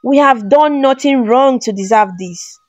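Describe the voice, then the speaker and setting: A woman voicing a grieving queen whose speech trembles with fear and frustration, in a slow storybook telling. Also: high voice, dry recording, muffled recording, soft background music. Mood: distressed. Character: frightened, grieving queen mother.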